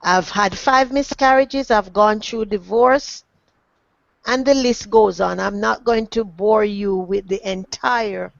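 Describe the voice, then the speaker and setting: A middle-aged woman with a Jamaican accent, speaking formally and earnestly as a motivational coach, with deliberate, emphatic phrasing. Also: mid-range voice, vocal fry, dry recording. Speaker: guest, transformational coach. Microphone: online webinar connection. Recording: dry, dull lo-fi webinar audio.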